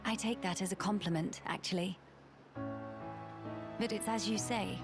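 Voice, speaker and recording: An actress plays a young crown princess in a fantasy video game. She speaks formally in a mid-pitched British voice, measured and earnest, kind yet resolute. Music plays beneath her. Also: fairly even intonation, natural voice. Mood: gently confident. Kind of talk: dramatic video game voice acting.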